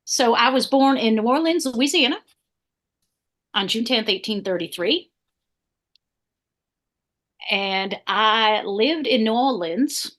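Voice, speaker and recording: A woman's voice: on the high side, lively intonation, takes it slowly, pauses often, a bit bright, pitched above the performer's own voice. A woman portraying a spirited, tomboyish Southern lady spy from the 1800s with a Southern accent, telling her life story in a chatty, playful way. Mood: lively, playful. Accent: American Southern. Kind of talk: living history portrayal in an interview.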